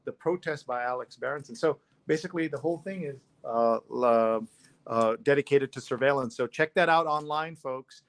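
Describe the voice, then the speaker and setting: A man's voice: mid-range voice, moderate pace, dry recording. A middle-aged man hosting a casual live read-along, chatting conversationally and putting in questions and asides. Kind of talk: casual on-air chat about newspaper stories.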